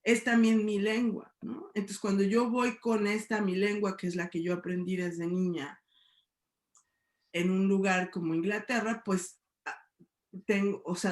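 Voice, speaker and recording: A woman's voice: mid-range voice, echoey room. A middle-aged woman with a Mexican Spanish accent, a sociology professor talking thoughtfully in a debate, often asking "¿no?". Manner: thoughtful, many hedges and tag questions. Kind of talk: reflective debate talk.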